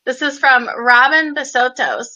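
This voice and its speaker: A woman's voice: high-pitched, measured pace, pushed and bright, lo-fi sound. A woman host with a young-sounding voice, chatty and affirming, laughing easily. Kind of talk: casual conversational interview.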